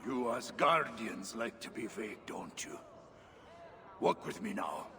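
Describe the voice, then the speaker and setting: A man's voice: on the low side, animated, unhurried, sharp tone, slight background noise. An actor playing an earthy, mocking male dwarf chief in a video game cutscene, bluff and irreverent. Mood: wry mockery. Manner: bluff, irreverent, coarse-humoured. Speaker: chief of a hidden settlement.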